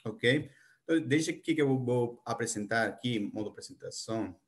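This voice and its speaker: Halting Spanish-accented man presenting. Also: mid-range voice. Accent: Spanish-speaking. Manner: halting, many restarts and fillers, mixes in English words. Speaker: conference speaker, project planner. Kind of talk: conference presentation.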